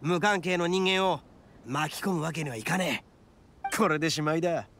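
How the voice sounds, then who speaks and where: An actor playing a brash, proud young male oni gang boss in a video game, with rough, cocky, emphatic speech. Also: on the high side, normal speed, a bit bright, slight background noise. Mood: serious, defiant. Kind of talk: dramatic video game voice acting.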